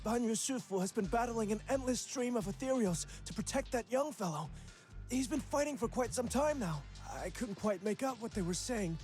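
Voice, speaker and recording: A man voicing a small, excitable, earnest helper character in a video game. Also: very high voice, normal speed, faint music behind. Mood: breathless, worried.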